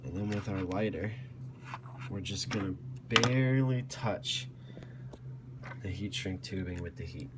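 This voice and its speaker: A man giving a casual, plainspoken step-by-step tutorial, explaining each step as he works. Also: fairly low pitch. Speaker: DIY tutorial host. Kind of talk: casual step-by-step how-to narration. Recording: clearly noisy hobby video with clicks.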